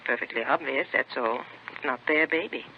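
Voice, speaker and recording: A woman's voice: a bass voice, moderate pace. An actress playing an insistent, opinionated busybody neighbor woman in a 1950s radio drama. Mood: smugly certain. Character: insistent, self-certain nosy woman neighbor phoning police. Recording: muffled old radio recording, faint noise.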